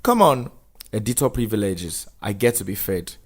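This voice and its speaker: A man plays a former editor in a drama read-through, a man weighed down by jealousy and regret. His voice sits fairly low, and he speaks solemnly, as if confessing. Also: big pitch swings, normal speed, a little mellow. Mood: light teasing. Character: Daniel, remorseful former newspaper editor haunted by jealousy.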